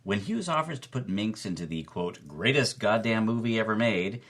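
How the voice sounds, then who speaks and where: A man's voice: lowish voice, expressive, normal speed, a bit bright. A man hosting as a storyteller, narrating with wry, emphatic delivery and punchy repetition. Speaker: podcast host, film club organizer.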